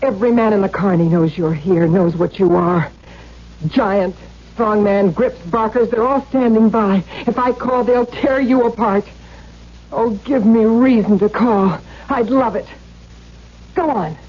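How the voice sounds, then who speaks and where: A woman's voice: mid-range voice, a little slow, husky. An actress playing a defiant, scornful carnival woman who taunts and dares, in a 1970s radio drama. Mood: scornful daring. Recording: muffled 1970s radio recording.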